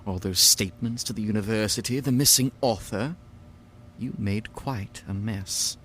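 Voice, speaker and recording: An actor playing a stern, condescending organization leader in an audio drama, with a sneering, authoritative, mock-polite manner. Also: male voice, lowish voice, normal speed, a bit bright, a little hiss or hum, close to an everyday voice. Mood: angry rebuke.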